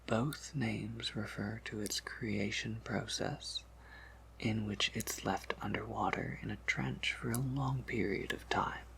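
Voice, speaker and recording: A man playing a soft-spoken vampire apothecary in a whispered ASMR roleplay, patiently explaining in a measured, slightly wry and knowing way. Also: fairly low pitch, steady tone, a little hiss or hum, heavily acted. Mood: quiet, confiding. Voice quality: breathy whisper with creaky undertone.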